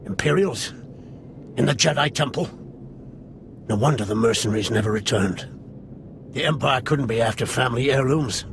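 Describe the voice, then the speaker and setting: Creaky, troubled male senator played by a man. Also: mid-range voice, normal speed, pauses often, close to an everyday voice. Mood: troubled, grateful. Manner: formal, troubled. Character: troubled senator, a grieving father. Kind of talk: video game character acting. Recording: clear ambient noise.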